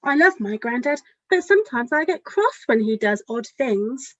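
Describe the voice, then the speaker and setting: A woman with a fairly high voice reads a picture book for young children. She is expressive and speaks straight to her listeners as a storytime author would. The sound is dry and a little dull. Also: moderate pace, no echo. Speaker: children's author reading aloud.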